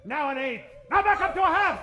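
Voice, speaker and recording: A man's voice: very high-pitched, lively intonation, a little fast, bright, forceful tone, lo-fi sound. A man hosting and narrating an old-time radio serial with dramatic announcer delivery. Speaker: host and narrator. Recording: roomy stage recording, music behind.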